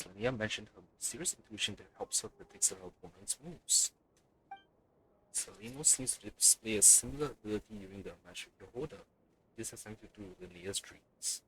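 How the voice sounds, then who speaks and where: Guy streaming a game, deep-ish but bright voice, swings up and down a lot, reads dialogue out. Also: lively intonation, a little fast, a little hiss or hum, rough recording. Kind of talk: let's play commentary and reading game dialogue aloud.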